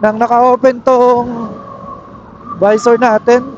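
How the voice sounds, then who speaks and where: High-voiced Filipino man on dull helmet mic. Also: level tone, slow pace. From Philippines. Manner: explanatory, with frequent tag questions. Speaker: motovlogger reviewing gear. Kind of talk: casual product review to camera. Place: on a moving motorcycle, inside a helmet. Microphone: helmet intercom microphone. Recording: dull helmet intercom audio with riding noise. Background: faint vehicle noise.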